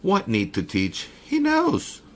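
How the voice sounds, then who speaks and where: A man playing a slow, sinister mystic villain. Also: high voice, huge pitch swings, slight background noise, exaggerated voice. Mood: mystical. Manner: slow, courtly then threatening. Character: sinister male mystic, smooth and menacing. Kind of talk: 1930s radio drama acting.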